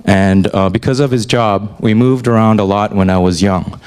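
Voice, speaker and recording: A man in his forties with a slightly low voice gives a lecture to students. He raised his voice in North America and keeps a relaxed, conversational tone, often pausing on "uh" between phrases. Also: clear, resonant tone. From Korea, raised in North America. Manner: relaxed and conversational, with frequent "uh" fillers. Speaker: newspaper chief editor giving a talk. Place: lecture hall.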